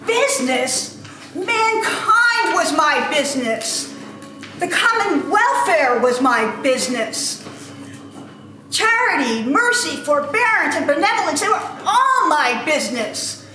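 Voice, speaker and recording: A woman's voice: sits high, big pitch swings, takes it slowly, exaggerated voice. A woman in an amateur dramatic reading playing a mournful male ghost in chains who declaims solemn warnings. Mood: urgent warning. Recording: very echoey room, faint background noise.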